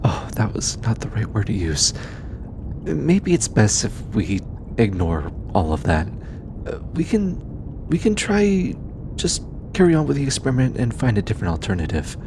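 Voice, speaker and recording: A man voicing a shy, gentle young alien scientist in an intimate audio roleplay, speaking softly and hesitantly with stammers. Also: lively intonation, normal speed. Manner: hesitant, stammering, soft-spoken. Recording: clear low background rumble noise.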